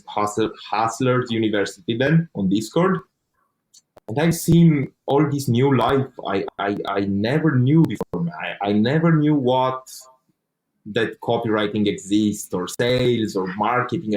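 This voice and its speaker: A man's voice: mid-range voice, darkish tone, low-quality recording. A young man telling his own story in an interview, speaking English as a second language, earnest, with frequent restarts and casual swearing. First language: not English. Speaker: interview guest.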